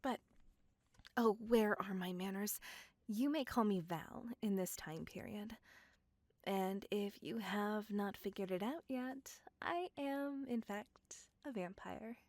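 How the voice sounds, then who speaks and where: An age-old vampire lady, acted by a woman, besotted and possessive, speaks with courtly, old-fashioned formality in an intimate ASMR roleplay. Her delivery is very slow, with plenty of creak, breathy and often near a whisper, recorded close and dry. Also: mid-range voice, a little mellow, no echo. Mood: polite, hesitant. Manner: formal, old-fashioned, ornate, pleading speech. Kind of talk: ASMR roleplay, scripted monologue.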